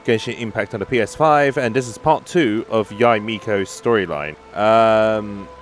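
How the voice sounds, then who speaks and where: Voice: medium pitch, a little slow. Casual gaming host with faint music behind. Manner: repeats and reacts to dialogue. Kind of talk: casual let's play commentary.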